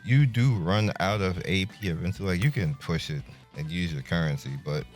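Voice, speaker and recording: A man's voice: low-pitched, normal speed, darkish tone. A man giving casual, laid-back, slangy gameplay commentary. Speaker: gaming content creator. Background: faint game music.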